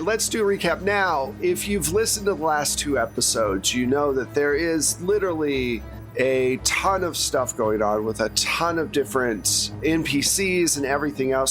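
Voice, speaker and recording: A man's voice: on the high side, a bit bright. A man speaking informally and at some length as a game master, recapping the story for players in a conversational way.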